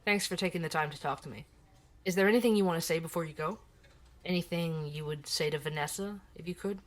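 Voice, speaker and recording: A woman playing a composed investigative journalist in an audio drama, asking measured, probing questions. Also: low-pitched, close to an everyday voice. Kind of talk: audio drama acting as podcast interview.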